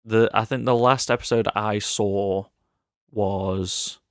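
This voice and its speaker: Man with lowish voice, casual podcaster. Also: steady tone, takes it slowly, dry recording, studio quality. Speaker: podcast co-host. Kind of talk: casual film and TV chat.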